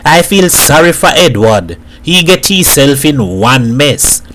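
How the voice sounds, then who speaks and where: A gossipy neighbour from a storybook, a woman who judges and pities , read by a male narrator. Her voice is on the higher side for the man reading. The manner is disapproving. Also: animated, a little slow, a bit bright, a little hiss or hum. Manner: judgmental, gossipy. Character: Missus Morgan, judgmental pitying Trinidadian neighbour woman.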